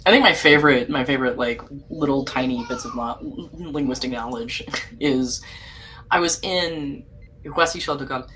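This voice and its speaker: A woman in casual podcast conversation, telling anecdotes in a lively way with frequent laughter. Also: a bass voice, moderate pace, slight background noise, low-quality recording. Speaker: podcast panelist. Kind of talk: casual chat.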